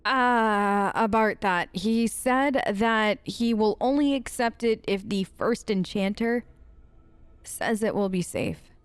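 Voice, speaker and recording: A woman's voice: medium pitch, slow pace, clear, resonant tone, dry recording. A woman hosting a role-play let's play, improvising her character's lines in casual, earnest, informal talk.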